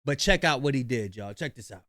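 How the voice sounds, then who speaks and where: A man doing reaction commentary in a slangy, animated way, talking straight to his viewers. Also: mid-range voice, brisk, no echo, studio quality.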